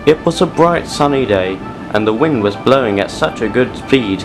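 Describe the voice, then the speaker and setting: A man's voice: medium pitch, lively intonation, normal speed, music in the background, poor audio. A man delivering scripted storybook narration as the narrator of an audio drama.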